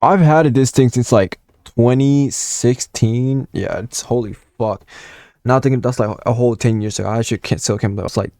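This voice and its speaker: A man's voice: medium pitch, moderate pace, warm tone, no echo, studio quality. A nineteen-year-old man from Puerto Rico, rambling through a casual gaming vlog story with lots of swearing and fillers. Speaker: gaming content creator.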